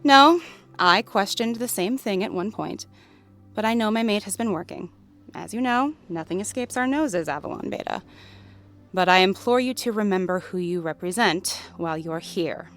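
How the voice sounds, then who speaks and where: A woman's voice: medium pitch, lively intonation, normal speed, fairly bright tone, soft background music. A woman playing a gracious, plain-spoken mate of a werewolf pack leader in a fantasy audio drama. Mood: cautioning. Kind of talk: scripted fantasy audio roleplay.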